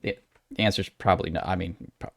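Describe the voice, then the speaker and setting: Male, lowish voice, author-presenter for an informal how-to vlog; folksy and chatty, leaning on the key points. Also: fairly even intonation, brisk, gravelly. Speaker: author giving writing advice. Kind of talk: casual instructional vlog talk.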